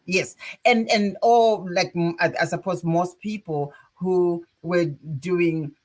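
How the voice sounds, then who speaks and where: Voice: mid-range voice, fairly even intonation, takes it slowly, a little mellow. An interview host in their sixties, a former broadcaster who chats in a friendly, encouraging way and laughs easily. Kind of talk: casual interview conversation.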